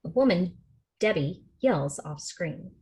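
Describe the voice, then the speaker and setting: Woman reading screenplay action lines out loud, slightly low voice, table read narration. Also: lively intonation, slow pace. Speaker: narrator reading stage directions. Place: remote video call rehearsal.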